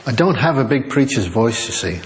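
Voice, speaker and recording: An older man preaching a chatty, self-deprecating sermon in a quiet voice to a church congregation on a small microphone. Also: medium pitch, sing-song, a little fast. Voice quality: quiet, soft-spoken voice. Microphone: small sensitive microphone.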